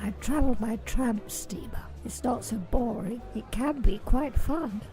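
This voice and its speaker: A woman plays a coy, mysterious charmer with a fairly high voice that often drops to a breathy near-whisper. Faint music plays behind her. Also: unhurried, dark tone. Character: charming mysterious adult woman who gambles. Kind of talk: amateur radio play acting.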